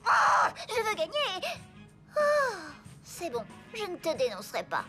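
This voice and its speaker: A woman playing a bossy, suspicious, competitive schoolgirl in a French animated cartoon, emphatic and sharp-tongued. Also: very high voice, a little slow, heavily acted. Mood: grudging, indignant. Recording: music behind the voice. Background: cartoon music.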